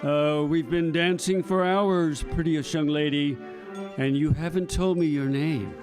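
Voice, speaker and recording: A man's voice: on the high side, fairly even intonation, measured pace, music in the background, natural voice. A man playing a vain, self-absorbed young prince in a children's audio play, pompous and entitled. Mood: smug. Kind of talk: children's audio theater acting.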